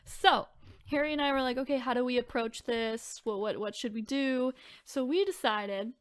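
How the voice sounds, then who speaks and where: A woman's voice: sits high, moderate pace, clear, resonant tone, no room sound. A woman co-hosting a podcast in casual chat, informal and chatty, sometimes reading out descriptions with asides. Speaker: podcast co-host.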